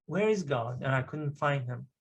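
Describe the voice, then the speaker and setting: A man's voice: mid-range voice, expressive, normal speed, creaky, husky. A middle-aged man from Iran, a native Persian speaker, giving an earnest personal testimony at a church service, with emphatic swells as he tells his story.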